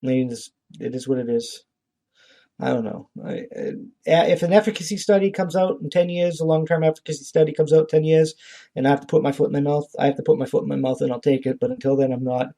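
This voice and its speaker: A man's voice: on the high side, steady tone, quick pace, vocal fry, warm tone. A man hosting a podcast, delivering an informal, profane political rant in a blunt, indignant and exasperated way. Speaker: podcast host.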